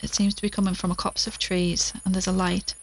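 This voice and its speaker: A woman's voice: on the low side, flat delivery, fairly quick. Anxious, determined actress as a woman, noisy recording. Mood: worried, resolute. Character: exhausted, anxious but determined woman recording an audio log. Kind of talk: audio drama acting, spoken field log. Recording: clearly noisy outdoor recording with crickets.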